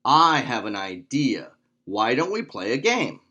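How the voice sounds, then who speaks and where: A man voicing a playful, excitable young boy student in a children's storybook read-aloud. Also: medium pitch, a little slow, highs cut off, heavily acted. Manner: eager, playful.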